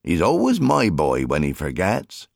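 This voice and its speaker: Man acting a low-voiced teasing fisherman husband. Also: huge pitch swings, measured pace, creaky, darkish tone, no echo, heavily acted. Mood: teasing, grumbling. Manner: muttering, dry banter. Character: good-natured teasing fisherman husband and father. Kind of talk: audiobook character acting.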